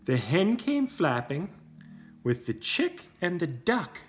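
Expressive, unhurried man reading a storybook. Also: a little high. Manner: expressive, simple explanations for young children. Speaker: parent reading to preschool class. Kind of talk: children's storybook read-aloud with casual asides. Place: indoor room with brick wall. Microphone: camera a short distance away.

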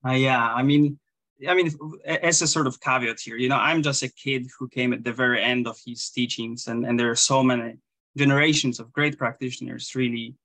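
A man in his thirties with a Polish accent, a Zen priest talking as a guest over a video call, halting and full of restarts and fillers. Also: mid-range voice, fairly even intonation, pushed and bright, no room sound. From Poland. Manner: hesitant, many restarts and fillers. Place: small room indoors. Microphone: video call webcam.

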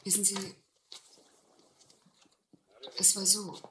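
A woman's voice: mid-range voice, level tone, drawn-out words. A woman playing a polite, composed shipwrecked lady in a German radio play. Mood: polite, subdued. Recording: roomy, noisy old radio play.

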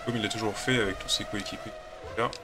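A man's voice: fairly low pitch, fairly even intonation, fast, background noise. A man with a cold chatting casually as a gaming channel host, rambling and hesitant while he plays a racing game. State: has a cold.

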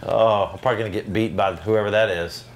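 A man's voice: on the low side, steady tone, fast talker, a little hiss or hum. A man playing a video game and commentating casually, in an exasperated, self-deprecating, slangy way. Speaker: video game player and channel host.

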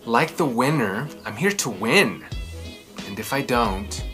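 Male storybook presenter, thirties, expressive and theatrical, lively swings in pitch, faint music behind. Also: takes it slowly. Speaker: children's book reviewer and host. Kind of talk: storybook read-aloud and review to camera. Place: small furnished room at home, indoors.

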